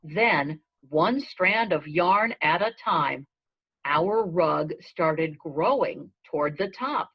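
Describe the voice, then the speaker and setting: A woman narrates a children's storybook at a very unhurried pace. Her voice is rough-edged and husky, with deliberate phrasing. The recording sounds dull and lo-fi. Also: low voice, animated. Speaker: storybook reader. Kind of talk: read-aloud narration of a children's story in a goat's first person.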